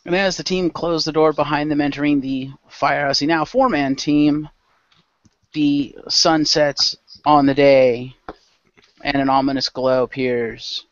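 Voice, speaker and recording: Male game master, higher register, casual narration and banter, lo-fi dry recording. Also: steady tone, measured pace, no echo.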